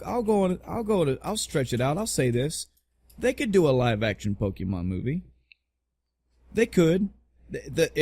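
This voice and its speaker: A man's voice: mid-range voice, no echo. A man in his thirties chatting casually on a podcast, blunt and joking, swearing freely and repeating phrases for emphasis. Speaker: podcast co-host. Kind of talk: casual opinionated podcast chat.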